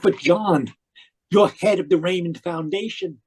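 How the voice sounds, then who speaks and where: A man acts out a fanatical younger brother in a radio mystery play. His voice sits high for a man, with fervent, pleading intensity and an obsessive, desperate drive. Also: a little slow, a little mellow, husky. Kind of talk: old-time radio mystery acting, dramatic narration. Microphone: home microphone on a video call.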